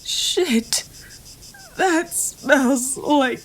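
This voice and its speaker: Casting: actress as childlike hungry apocalypse rancher for audio drama, high voice, exclamatory and desperate. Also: female voice, drawn-out words. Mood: hungry, curious. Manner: exclamatory, wide-eyed, desperate. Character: starving, frantic, childlike female post-apocalyptic rancher. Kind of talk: live-streamed audio drama acting.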